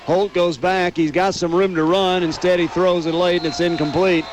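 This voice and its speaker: A man's voice: high-pitched, flat delivery, moderate pace. An American man doing live radio play-by-play commentary of a football game.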